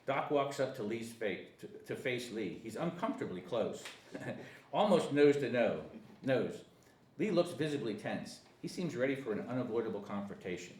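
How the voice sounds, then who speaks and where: A man's voice: mid-range voice, big pitch swings, moderate pace, darkish tone, far from the mic. A man reading stage directions at a comedy screenplay table read, sometimes stumbling over words. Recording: echoey room with faint noise.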